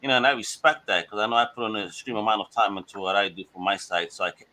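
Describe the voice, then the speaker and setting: A man's voice: on the low side, fast talker, bright, forceful tone. A man from Brooklyn hosting a podcast, chatting casually and eagerly hyping up his guests. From Brooklyn, New York. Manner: enthusiastic, hypes guests up. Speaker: podcast host. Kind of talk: casual chat.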